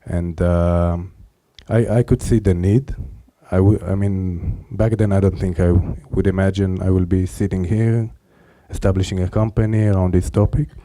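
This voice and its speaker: Deep dark-voiced man, dry sound. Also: steady tone, no room sound. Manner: earnest, halting with fillers. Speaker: startup founder answering questions. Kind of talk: panel answer.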